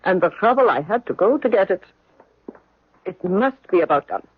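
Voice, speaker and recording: An actress playing a devoted, resourceful general's wife in an old-time radio drama, with an earnest, measured manner. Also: female voice, mid-range voice, animated, husky. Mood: practical, busy. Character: devoted, resourceful French general's wife, anxious but practical. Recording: tinny, band-limited old radio broadcast, music.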